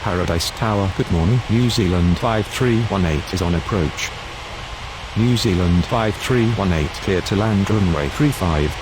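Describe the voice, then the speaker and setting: A man's voice: sits low, a little slow, pauses often. A man speaking in formal air traffic control radio phraseology, as pilots and controllers do.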